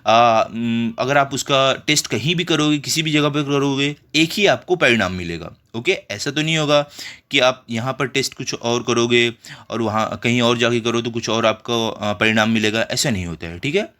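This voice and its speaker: A man's voice: mid-range voice, moderate pace, hardly any pauses, sharp tone. A man teaching children, walking through exercise questions step by step and often checking in with his pupils.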